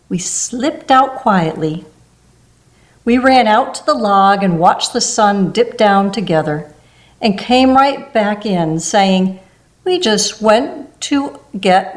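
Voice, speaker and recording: A woman reads a rhyming children's book aloud, her voice sitting a little high. She takes the verses at a slow, gentle pace. Now and then she adds a soft aside between the pages. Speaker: storybook reader.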